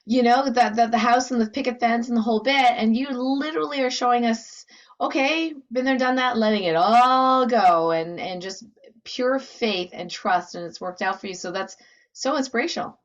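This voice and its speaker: A woman's voice: on the high side, normal speed. A woman hosting a casual interview, affirming her guest with short interjections, on a dull, lo-fi recording. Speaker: interviewer, show host.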